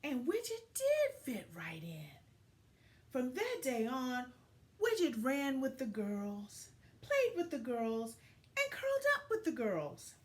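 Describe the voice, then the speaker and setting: A woman teacher giving an expressive read-aloud lesson for young children, with deliberate, dramatic phrasing. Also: sits high.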